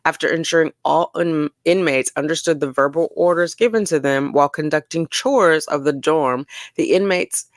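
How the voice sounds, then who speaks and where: Commentary host for dramatic document readings: creaky voice, sassy and theatrical, dry close sound. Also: mid-range voice, normal speed, dry recording. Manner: theatrical, sassy, mock-incredulous asides. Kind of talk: dramatic reading of jail reports with casual asides.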